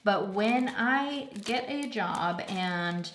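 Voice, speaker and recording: A woman's voice: medium pitch, lively intonation, drawn-out words, very noisy. A young woman chatting casually about a crafting project at home, slightly congested and rambling with lots of fillers. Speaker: craft vlogger. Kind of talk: casual chat.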